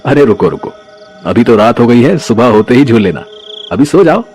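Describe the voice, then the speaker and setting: Fretful, melodramatic village husband played by a man, wailing exclamations, animated storybook style, music behind. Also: mid-range voice, moderate pace, natural voice. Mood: soothing. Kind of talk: animated Hindi storybook acting.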